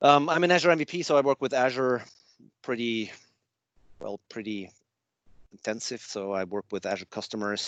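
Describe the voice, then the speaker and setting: Swiss guy giving a talk over a video call, kinda low voice, dry sound, loose and chatty. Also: fairly bright tone, no room sound. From Switzerland. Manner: chatty, loose and self-deprecating. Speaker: guest speaker at a user group. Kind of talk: remote slide presentation. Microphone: remote video call.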